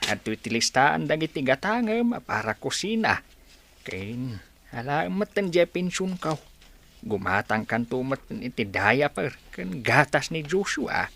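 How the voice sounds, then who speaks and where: A man playing a doting, excitable old village grandfather in an Ilocano radio comedy drama. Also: mid-range voice, a little slow, creaky, exaggerated voice. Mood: fussing, doting. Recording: noisy track with clicks.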